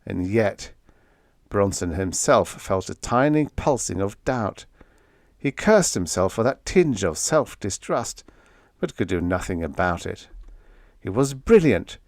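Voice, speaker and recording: A man reading scripted story narration for an audiobook in an expressive, dramatic manner. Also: lowish voice, a little slow, no room sound, studio quality.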